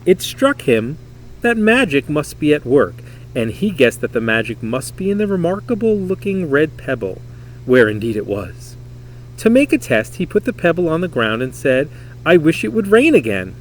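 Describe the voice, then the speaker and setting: A man reading a children's story aloud in an expressive, dramatic, emphatic way. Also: a little high, moderate pace, a little hiss or hum, poor audio. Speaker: storybook reader.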